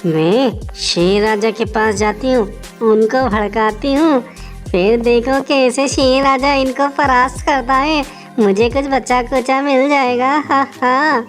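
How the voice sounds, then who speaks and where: A woman voicing a sly, scheming little female wolf who flatters and wheedles in a children's animal story. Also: high-pitched, slow pace, faint music behind.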